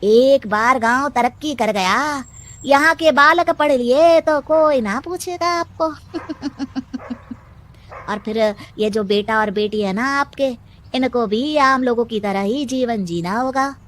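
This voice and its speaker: A woman voicing a sly, scheming male adviser to a village headman in an animated moral story, insinuating and chuckling. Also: high-pitched, slow.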